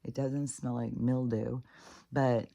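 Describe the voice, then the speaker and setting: A woman's voice: rumbling low, little pitch movement, slow, gravelly, dark tone. Stuffy-nosed woman rambling casually. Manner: casual, swears freely, many fillers and restarts. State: congested, stuffed up. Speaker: spiritual vlogger. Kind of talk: rambling personal monologue.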